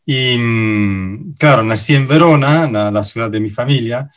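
A man from Italy, a native Italian speaker in his thirties, talking as an interview guest, often pausing and restarting his sentences. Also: medium pitch. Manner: halting, self-correcting, many fillers and restarts. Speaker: interview guest, finance director. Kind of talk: casual interview talk.

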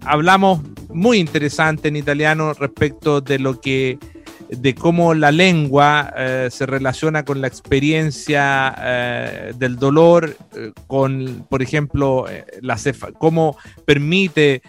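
A man's voice: a little high, takes it slowly, soft background music. A man interviewing a guest on the radio in a conversational, hesitant way. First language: Spanish.

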